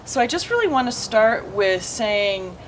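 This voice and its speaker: A woman's voice: mid-range voice, very animated, measured pace, a little hiss or hum, poor audio. A woman hosting a conversational interview in an enthusiastic manner, introducing her guest and asking questions. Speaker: interviewer, host.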